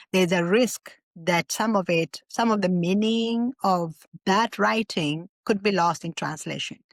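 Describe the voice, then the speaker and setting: A woman's voice: medium pitch, dry recording, clean studio sound. A woman journalist who interviews her guest, asking polite and encouraging questions and offering short acknowledgments.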